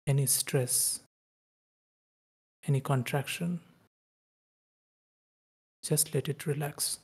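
A man leading a guided meditation in slow, calm, short phrases with long pauses between them. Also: fairly high pitch, breathy edge.

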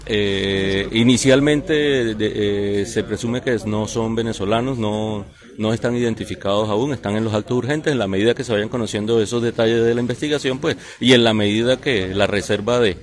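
A Colombian man, a police colonel, answering reporters in a formal, halting way outdoors into handheld microphones. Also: lowish voice. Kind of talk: press interview answers. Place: outdoors at night outside a building.

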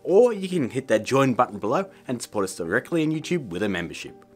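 A man's voice: medium pitch, sing-song, fast, faint music behind. A man in his thirties presenting a tutorial in a chummy, informal, upbeat way, talking to viewers as guys. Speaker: tutorial host.

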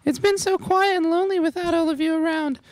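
A woman's voice: very high-pitched, steady tone, fast, darkish tone, a little hiss or hum. A woman playing a doting, nosy middle-aged mother in a live radio comedy, gushing and fussing over her family. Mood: gushing, fond. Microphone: stand microphone.

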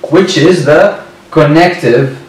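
A man in his twenties giving a whiteboard lecture on histology, speaking slowly and repeating key terms. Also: on the high side. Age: 20s. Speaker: medical lecturer. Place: indoor room at a whiteboard. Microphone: far camera. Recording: very echoey room, distant mic.

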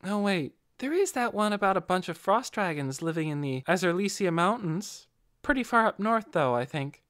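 A man voicing a quick-tongued, chatty young adventurer in a fantasy audiobook. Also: high-pitched, lively intonation, a bit bright, smooth, dry recording, studio quality, pitched above the performer's own voice. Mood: earnest explaining.

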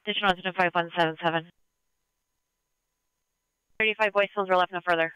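A man's voice: sits high, steady tone, brisk, sharp tone, smooth, dry recording. A man working as a police dispatcher, giving clipped, procedural calls over a muffled scanner radio.

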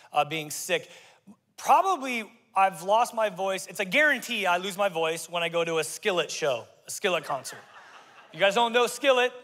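A man in his forties, a pastor preaching an energetic, joking sermon into a handheld mic on a large church stage. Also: fairly high pitch. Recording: live sermon in a large hall with faint music.

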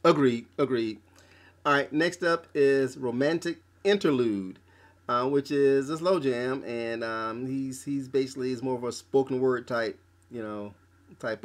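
A man hosting a casual album review podcast, talking haltingly with lots of fillers and restarts. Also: mid-range voice.

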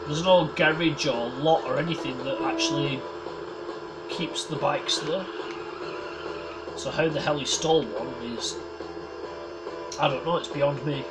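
A man's voice: fairly high pitch, fairly even intonation, measured pace, long pauses, low-quality recording. Sniffly man swearing through a game. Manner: casual, swears a lot, self-mocking. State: slight head cold, sniffling. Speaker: gameplay commentator. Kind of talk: casual let's-play commentary. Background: loud game music and sound effects.

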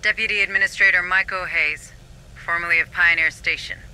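An actor playing an earnest, level-headed administrator in a video game, businesslike and inquisitive. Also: male voice, sits high, fairly even intonation, brisk, bright, forceful tone, smooth, rough recording. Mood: urgent, businesslike. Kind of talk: cinematic game acting.

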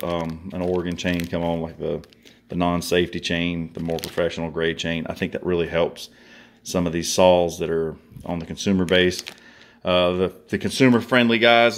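A man's voice: low-pitched, a little hiss or hum. A man in casual chat, rambling and folksy as he unboxes and looks over a chainsaw for his viewers, filming with a handheld phone at his workbench. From southern United States. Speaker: vlogger reviewing a chainsaw. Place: workshop or garage indoors, workbench. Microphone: handheld phone camera.

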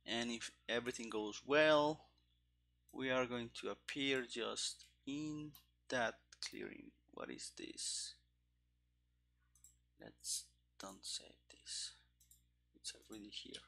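A man in his thirties talking through live coding in a halting, muttering way, into a headset. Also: mid-range voice, very animated, vocal fry, pushed and bright. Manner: halting, muttering to himself while working. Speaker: programmer, host of coding stream. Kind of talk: live coding commentary, thinking aloud. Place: indoor room at a computer desk. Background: keyboard typing and clicking.

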